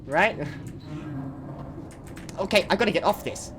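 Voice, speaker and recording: A man's voice: high-pitched, animated, unhurried, noisy background. A young man giving casual, rambling commentary while playing a video game, often cutting himself off mid-sentence. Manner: rambling, chatty, self-interrupting. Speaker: let's play host.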